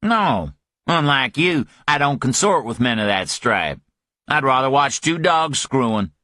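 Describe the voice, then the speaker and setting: A man puts on an angry Southern ex-con with a Southern US accent, blustering in a raised voice pitched higher than the narrator's. The recording is dry and close. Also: lively intonation, normal speed, sharp tone, no echo.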